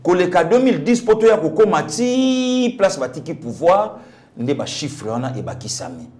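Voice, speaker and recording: Congolese guy, high voice that swings a lot, fired up about politics, keeps repeating himself for emphasis. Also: big pitch swings, moderate pace, darkish tone. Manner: emphatic, repeats phrases, asks rhetorical questions. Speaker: pastor, guest commentator. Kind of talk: impassioned political commentary.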